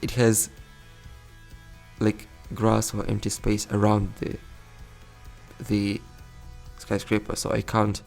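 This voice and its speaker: Gravelly man rambling over a city build. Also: fairly low pitch, completely flat delivery, slow pace, pauses often, a little mellow, faint music behind. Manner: rambling, self-correcting, chuckles often. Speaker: let's play host. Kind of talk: casual gameplay commentary.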